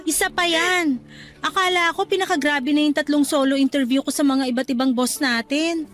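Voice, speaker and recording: A Filipino woman playing a cheerful, self-deprecating young office worker in a chatty, expressive radio drama style. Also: sits high, moderate pace. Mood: amazed, rattled.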